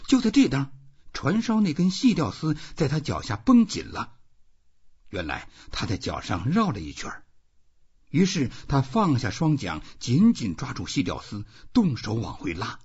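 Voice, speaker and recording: A man narrating a literary audiobook in an expressive reading style. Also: medium pitch, moderate pace, breathy edge. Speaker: audiobook reader. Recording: dull, muffled lo-fi audio.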